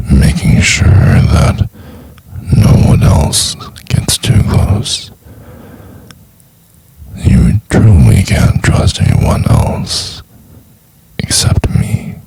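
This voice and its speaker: Male stalker lover for intimate ASMR roleplay, performed by a man: extremely low, husky whisper, possessive, menacingly tender, coaxing. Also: darkish tone, exaggerated voice. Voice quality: breathy, gravelly whisper. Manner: slow, deliberate, coaxing and condescending.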